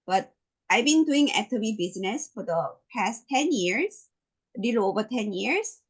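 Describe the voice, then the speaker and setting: A woman's voice: on the high side, very animated, moderate pace, slightly rough. A middle-aged woman from Korea with a Korean accent, giving an emphatic, repetitive motivational business presentation as a network marketing presenter.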